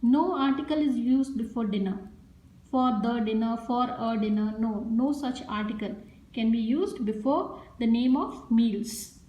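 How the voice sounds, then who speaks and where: High-voiced teacher repeating examples slowly. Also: female voice, warm tone, highs cut off. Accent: Indian English. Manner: explains step by step, repeats each example sentence. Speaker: school English teacher. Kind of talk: grammar lesson to a class. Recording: echoey room sound.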